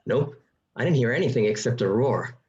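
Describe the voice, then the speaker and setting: An actor playing a puzzled, level-headed suburban husband in a radio play. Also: male voice, mid-range voice, expressive, fairly quick, a little mellow, lightly acted. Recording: slightly muffled home recording.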